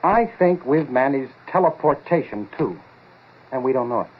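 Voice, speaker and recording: An actor playing an earnest, excitable lab researcher, urgent and insistent, in a 1940s radio drama style. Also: male voice, mid-range voice, normal speed, slightly rough. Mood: earnest excitement. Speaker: supporting actor. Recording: tinny, muffled-detail 1940s radio recording.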